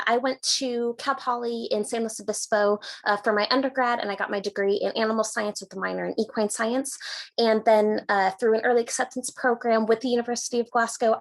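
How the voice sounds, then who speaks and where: A woman's voice: fairly high pitch, gravelly. A young woman in her twenties with a Californian accent, a vet student guest introducing herself in a friendly way with many fillers. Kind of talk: casual self-introduction.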